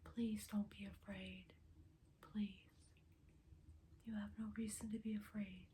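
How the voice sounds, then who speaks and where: A woman talks mostly in a hushed whisper, slow and even, her voice low and flat as she gently pleads and coaxes. The recording is made at home, with a faint hiss underneath. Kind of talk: spirit communication vlog.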